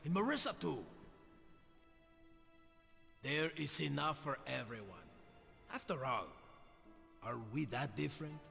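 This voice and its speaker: An actor playing a menacing, taunting villain in a video game cutscene. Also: male voice, fairly high pitch, animated, measured pace, gravelly, long pauses, sharp tone, big-room sound, soft background music, exaggerated voice. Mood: coaxing. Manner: taunting, theatrical. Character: menacing male villain, coaxing, mocking, quick to rage.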